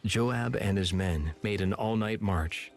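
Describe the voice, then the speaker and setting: A man narrating scripture from a script in a measured, solemn manner. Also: fairly low pitch, vocal fry. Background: music.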